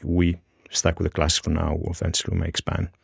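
A man's voice: deep voice, completely flat delivery, fast talker, creaky, breathy edge, dry recording, studio quality. A middle-aged man from Greece, a bartender guest, talking casually with many fillers and restarts. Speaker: guest bartender and bar co-owner. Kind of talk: casual interview talk.

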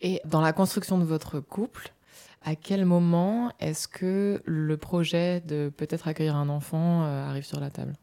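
A woman hosting a podcast who reads the narration and asks interview questions in a measured, calm way. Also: deep voice, gravelly.

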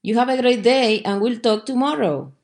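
A woman's voice: a little high, expressive, moderate pace, a little mellow. A woman hosting a short podcast briefing, speaking from a script as she presents. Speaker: podcast host.

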